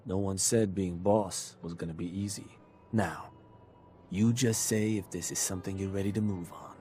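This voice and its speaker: An actor playing a cocky, scheming raider adviser in a video game, talking in a persuasive, sardonic way. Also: male voice, low voice, steady tone, moderate pace, mellow, a little hiss or hum, natural voice. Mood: coaxing. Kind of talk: video game character dialogue.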